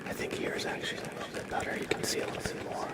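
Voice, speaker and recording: A man hosting an ASMR livestream, speaking in soft whispers with a gentle, playful manner. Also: racing. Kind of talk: ASMR, whispered roleplay and chat with viewers.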